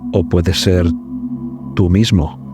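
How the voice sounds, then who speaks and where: A man hosting a podcast, narrating a scripted story in a measured, suspenseful manner. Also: sits low, fairly even intonation, over music.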